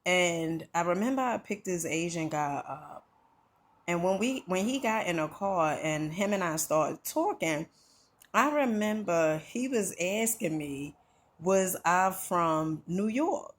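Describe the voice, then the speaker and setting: Slow, earnest woman from New Jersey. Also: lowish voice, expressive. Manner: slow, earnest, conversational with many "you know"s and "right?"s. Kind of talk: motivational talk to camera.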